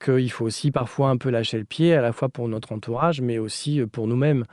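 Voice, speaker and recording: A man's voice: mid-range voice, a little fast, a little mellow, no echo, clean studio sound. A man giving conversational answers as an architect being interviewed.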